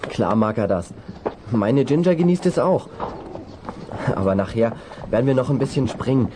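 A male actor playing a breezy, confident teenage boy rider in a children's audio drama, easygoing and brisk. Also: mid-range voice, lively intonation, natural voice. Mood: affectionate. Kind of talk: 1970s German children's audio drama acting. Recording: noisy 1970s vinyl rip.

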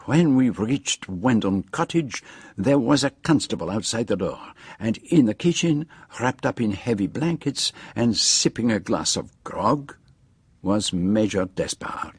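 Shrewd foreign detective, French-speaking accent, low husky voice, very formal, precise, lively intonation. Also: male voice, measured pace, exaggerated voice. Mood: narrating. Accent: French-speaking European. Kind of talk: radio drama acting, first-person narration.

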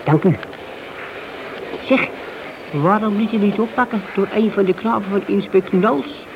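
An actor playing a wheedling, hesitant petty crook and police informer in a radio play. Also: male voice, high-pitched, moderate pace, vocal fry. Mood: grateful, servile. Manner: hesitant, evasive, servile to his handler. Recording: dull, noisy old radio drama recording.

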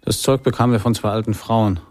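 An actor playing an easygoing jazz musician who tells droll, deadpan anecdotes in a radio play. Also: male voice, fairly low pitch, fast talker, faint music behind, natural voice.

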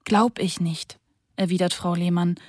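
A woman's voice: fairly low pitch, steady tone, a little slow, dry recording. Sober woman reading a diary, dry studio. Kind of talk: literary diary reading for radio.

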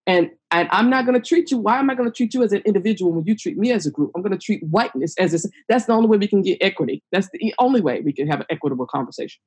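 A woman hosts a podcast in a candid, emphatic way, hammering her points home with repetition. Her voice has a husky, breathy grain. She sounds outspoken and firm. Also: mid-range voice, expressive, very fast, hardly any pauses, darkish tone. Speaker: podcast host. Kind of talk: candid conversational podcast talk.

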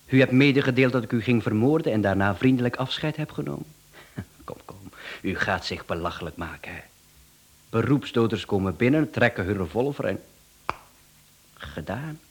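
A man's voice: mid-range voice, lively intonation, moderate pace, breathy edge. A man with a Flemish accent playing a smug, chatty professional hitman in a radio drama, speaking with mocking politeness and self-assurance. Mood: mocking, self-assured.

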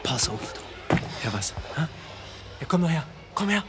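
A man's voice: a little high, sing-song, a little slow. A male actor playing a cocky, threatening teenage bully in a radio drama, sneering and bossy. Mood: menacing. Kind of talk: German radio drama acting.

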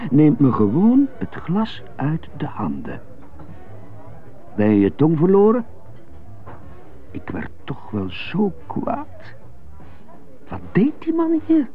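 Brooding prisoner, narrow phone-like sound. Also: male voice, a little high, exaggerated voice. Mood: angry. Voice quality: stutter on some words. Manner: muttering, self-questioning monologue in short bursts. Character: jazz-loving stuttering prisoner, brooding and indignant. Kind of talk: radio drama monologue. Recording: muffled narrow phone-like sound, music behind.

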